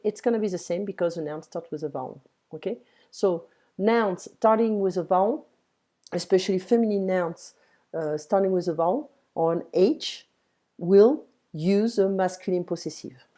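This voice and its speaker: Slow, mellow tutor woman. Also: fairly low pitch. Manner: patient, explains in English, models French words slowly. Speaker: French tutor. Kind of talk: one-on-one language lesson, explaining grammar.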